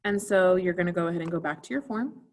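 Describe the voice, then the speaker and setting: A woman's voice: fairly low pitch, fast, darkish tone. A woman theater teacher giving an informal recorded video lesson, instructing students directly and putting questions to them.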